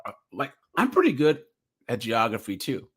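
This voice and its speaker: A man's voice: medium pitch, huge pitch swings, moderate pace, a bit bright, breathy edge. A man hosting a casual livestream reaction, chatty and rambling as he laughs along. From United States.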